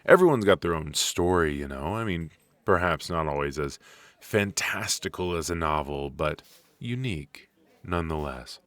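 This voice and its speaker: A man acting a friendly, chatty extrovert at a coffee shop mixer in an ASMR audio roleplay, conversational and reassuring, pausing for an unheard listener. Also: deep voice, expressive, fairly bright tone, no room sound. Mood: curious, easygoing. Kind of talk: ASMR roleplay, casual one-sided conversation.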